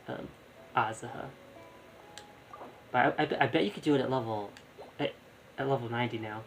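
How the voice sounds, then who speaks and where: Casual young man, gaming streamer: average pitch, loose think-aloud commentary over game music. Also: moderate pace, vocal fry. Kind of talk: casual live gameplay commentary.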